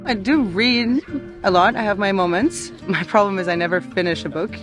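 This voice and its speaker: A woman's voice: mid-range voice, normal speed. Woman from France, DJ and singer, mid-range voice, easygoing and chatty, guitar music behind. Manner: easygoing, chatty, laughs often. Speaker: DJ and singer being interviewed. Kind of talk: casual chat.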